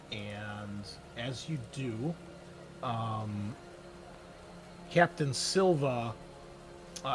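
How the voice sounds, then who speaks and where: A man's voice: mid-range voice, a little hiss or hum. A man running a tabletop game as game master, narrating casually with many fillers.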